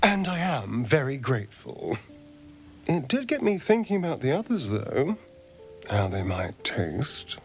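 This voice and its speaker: An actor playing a flirtatious, refined vampire man in a video game, with a theatrical, teasing drawl and breathy asides. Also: medium pitch, very animated, measured pace, soft background music. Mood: sly, musing. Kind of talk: video game companion dialogue.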